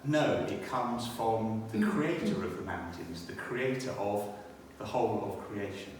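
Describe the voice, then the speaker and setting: A man's voice: fairly low pitch, lively intonation, a little slow, a little mellow. A British man giving a personal testimony and scripture reading in church, speaking in a reflective, somewhat hesitant way. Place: inside a church. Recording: echoey church hall, distant, faint noise.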